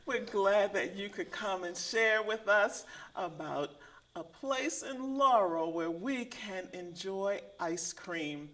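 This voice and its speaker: A woman hosting a community interview program, introducing her guest and asking questions in a measured style. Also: medium pitch, animated, fairly bright tone. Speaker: interview host.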